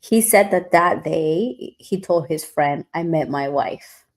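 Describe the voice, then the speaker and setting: A woman's voice: sits low, lively intonation, takes it slowly. A woman hosting a podcast, telling stories in a chatty, casual way with lots of fillers. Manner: chatty storytelling with many fillers. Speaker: podcast host. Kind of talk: casual chat.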